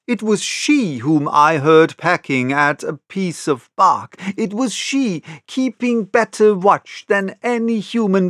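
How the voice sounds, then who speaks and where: A man reading literary first-person narration as an audiobook narrator, in a measured storytelling manner. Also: fairly high pitch, a bit bright, no room sound, studio quality.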